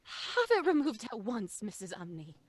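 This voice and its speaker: A woman's voice: medium pitch, sing-song, normal speed, no room sound. A woman playing a refined, easily flustered mother in an old-time radio drama, with a fluttery manner. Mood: appalled, firm. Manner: genteel, fluttery. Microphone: studio mic at home, video call.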